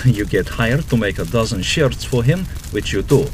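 A man's voice: on the low side, expressive, normal speed, slight background noise. A man serving as narrator, reading scripted audio drama narration in a storytelling style. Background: music.

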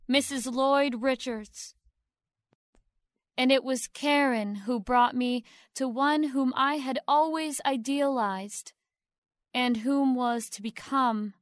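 A poised young actress in an audio drama played by a woman speaks in a high, slow voice. She is formal and demure, sweetly grateful with a calculated polish, pausing deliberately as in an acceptance speech. The sound is close and dry. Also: no room sound.

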